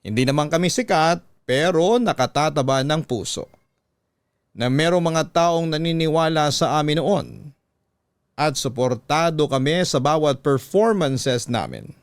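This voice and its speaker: A man tells a story in a measured radio narration style. His voice is smooth and resonant, a little high for a man, captured close and dry in a studio. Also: no room sound. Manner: measured, reading a letter in first person. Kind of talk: dramatic scripted radio narration. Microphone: close studio microphone.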